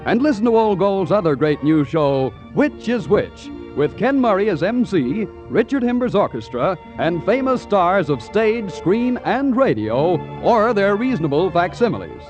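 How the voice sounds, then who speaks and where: A man's voice: sits high, sing-song, normal speed, hardly any pauses, a little mellow, soft background music. A man with an American accent making formal, emphatic announcements as a 1940s radio announcer. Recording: dull 1940s radio broadcast.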